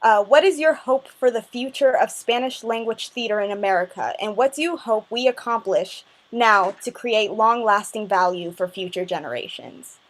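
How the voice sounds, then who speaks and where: A woman's voice: medium pitch, moderate pace, few pauses, poor audio. A woman on a video call politely reading out a question for a panelist.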